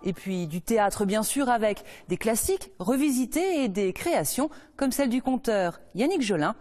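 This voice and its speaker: Bright-voiced woman presenting. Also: a little high, lively intonation, moderate pace. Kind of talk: regional TV culture presenting.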